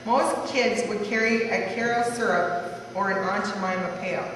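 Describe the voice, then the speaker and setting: A woman's voice: mid-range voice, normal speed, fairly bright tone. A woman reading a rhyming family life story aloud, now and then stumbling over the words. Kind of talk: rhyming verse read aloud. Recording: echoey hall from far off, faint noise.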